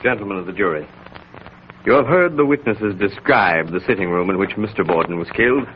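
A man's voice: on the low side, expressive, slight background noise, natural voice. An actor playing an impassioned male defense lawyer, oratorical and emphatic, in 1930s radio style. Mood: grave, reasoning. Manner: oratorical, deliberate emphasis and repetition. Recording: muffled 1930s radio recording.

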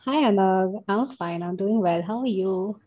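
Mellow-voiced woman, podcast co-host. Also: medium pitch, unhurried. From India. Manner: warm, chatty, with light laughter. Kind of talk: casual podcast chat.

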